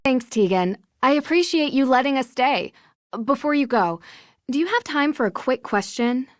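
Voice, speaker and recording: A woman's voice: high-pitched, lively intonation, moderate pace, no echo, studio quality. An American woman acting as a polite, determined young amateur detective in a video game, speaking earnestly.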